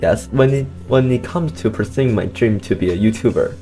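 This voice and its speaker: A nervous teenage high school student answers in careful learner English, stumbling, restarting and giggling often. The voice sits mid-range, with light music running faintly behind. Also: brisk, warm tone. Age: teens. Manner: hesitant, nervous, giggly, repeats words. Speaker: senior high student, interviewee. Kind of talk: casual interview in learner English.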